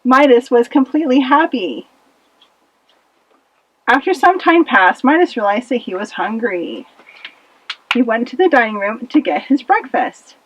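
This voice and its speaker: A woman narrating a children's puppet-show fable with expressive, dramatic delivery, in a slightly muffled recording. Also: on the high side, measured pace, long pauses, breathy edge. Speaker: storyteller.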